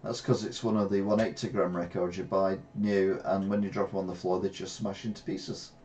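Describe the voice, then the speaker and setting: A middle-aged English man streaming a retro racing game, reacting excitably and trash-talking rivals from a gaming chair at home. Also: sits low, a little fast, a little hiss or hum. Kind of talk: live gameplay commentary. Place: small room at home, seated in gaming chair.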